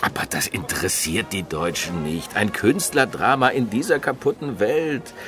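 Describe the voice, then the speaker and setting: An actor playing a domineering, capricious film producer in a radio drama, commanding, abrupt and theatrical. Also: male voice, lowish voice, moderate pace, fairly bright tone, lightly acted. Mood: dismissive. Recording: studio radio play over music.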